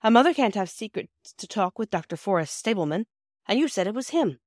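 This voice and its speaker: A woman reading the part of an eager, brisk young boy in an audiobook. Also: medium pitch, no echo, studio quality, voice pushed up, natural voice. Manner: brisk, excitable, indignant.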